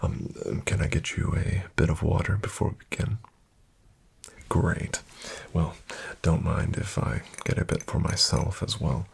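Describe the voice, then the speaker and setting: A man playing a suave, flattering financial advisor in a soft-spoken ASMR roleplay, hushed, courteous and unhurried, often near a whisper. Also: deep voice, vocal fry, breathy edge, heavily acted. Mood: welcoming, courteous. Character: suave, flattering male financial advisor to wealthy elite clients.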